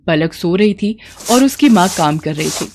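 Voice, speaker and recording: A woman's voice: fairly low pitch, lively intonation, normal speed, background noise. A woman reading a scripted children's story as its storyteller. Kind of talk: scripted children's story narration in character voices.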